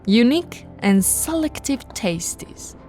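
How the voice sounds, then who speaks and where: Slow, sultry vampire noblewoman played by a woman. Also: fairly high pitch, very animated, mellow, faint music behind. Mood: proud, regal. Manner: haughty, sultry, drawn-out delivery with whispered phrases. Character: possessive, aristocratic vampire woman, seductive and predatory. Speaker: voice actor. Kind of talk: ASMR roleplay drama.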